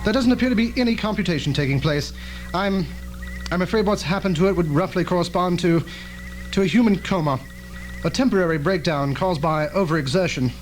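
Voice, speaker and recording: A man's voice: high voice, brisk, over music, close to an everyday voice. A man playing an earnest, cautious scientist in an old-fashioned radio drama, speaking in a formal, precise way. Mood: grave. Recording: noisy old tape with hum.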